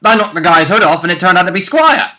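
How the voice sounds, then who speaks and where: A man with a lively, bright voice recounts a tabletop game from the player's side. His delivery is informal and blunt, with plenty of movement in pitch. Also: fast. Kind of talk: casual chat recapping a game.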